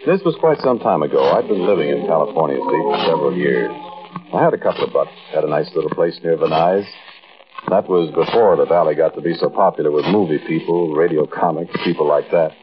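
An actor playing an intense, haunted American man who confides an eerie tale straight to the listener in a 1940s radio monologue, with emphatic pauses. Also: a bass voice, animated. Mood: reminiscing. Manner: conversational, addresses listener directly, emphatic pauses. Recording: muffled, noisy old radio recording.

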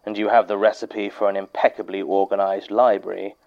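Low-voiced, pedantic skeptical archivist. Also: male voice, level tone, brisk, gravelly, lo-fi sound. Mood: dry, exasperated. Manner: formal, dry, precise. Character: weary, pedantic male academic archivist, skeptical and precise. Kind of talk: audio drama monologue, dictating a recorded statement.

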